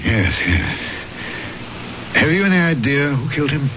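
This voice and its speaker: An actor playing a grave, probing male magistrate in old-time radio drama, with a measured, brooding manner. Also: on the high side, big pitch swings, noisy background. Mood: questioning. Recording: muffled old radio broadcast, music behind.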